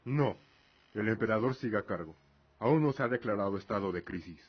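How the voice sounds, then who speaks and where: Radio drama role, male actor: dignified middle-aged imperial father, low register, formal and earnest delivery, muffled lo-fi sound. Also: animated, a little fast, slightly rough. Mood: calm, formal. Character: proud, devout middle-aged father, solemn imperial lord. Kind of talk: fantasy radio drama acting.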